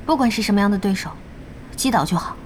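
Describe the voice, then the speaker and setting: A woman's voice: medium pitch, animated, fast, a little hiss or hum, lightly acted. An actress playing a confident, plucky young female gamer in a Chinese audio drama, undaunted and self-assured. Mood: determined, cool. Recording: background music under the voice.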